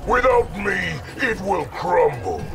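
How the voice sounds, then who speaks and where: Gravelly, brutal warlord emperor. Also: male voice, wildly swooping pitch, takes it slowly, far from the mic, over music, heavily acted. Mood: scornful. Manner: imperious, menacing, booming. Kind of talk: fighting game dialogue.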